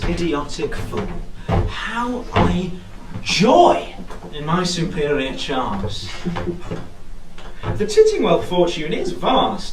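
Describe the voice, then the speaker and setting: An actor playing an arrogant young rake in a rhyming costume comedy, declaiming with pompous, sneering relish. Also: male voice, fairly high pitch. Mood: smug, scheming. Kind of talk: theatrical costume comedy in rhyme. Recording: echoey room, recorded from far off.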